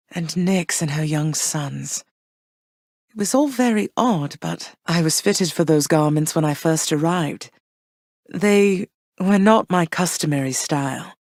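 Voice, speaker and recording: Persephone: tender, measured underworld queen and mother, slightly low voice, dry studio read. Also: a little mellow, no room sound. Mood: wistful. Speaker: game character. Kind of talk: game voice lines.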